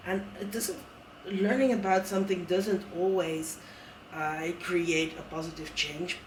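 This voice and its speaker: A man's voice: on the high side, slight background noise. A man speaking earnestly and thoughtfully in a casual conversation, often restarting his sentences. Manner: earnest, reflective, with many restarts. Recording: roomy sound from a distant mic.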